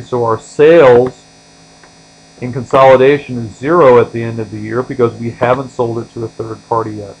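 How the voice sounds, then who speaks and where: A man's voice: medium pitch, low-quality recording. A man teaching as an accounting instructor, explaining examples step by step in a tutorial style, starting phrases firmly and trailing off at the ends. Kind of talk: explanatory tutorial lecture, walking through on-screen examples. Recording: steady mains hum.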